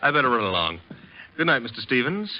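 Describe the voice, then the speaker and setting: A man's voice: medium pitch, very animated, fast talker, close to an everyday voice. A man playing a brash, flirtatious American detective's assistant in a 1950s radio drama, glib and teasing. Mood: breezy, teasing. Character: wisecracking, flirtatious male private detective's assistant, brash and breezy. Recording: dull vintage radio recording.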